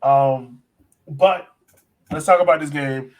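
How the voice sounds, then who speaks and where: A man's voice: fairly high pitch, slow pace, rough recording. An American man hosting a sports podcast, talking casually and with enthusiasm as he breaks down soccer. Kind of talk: casual soccer analysis chat.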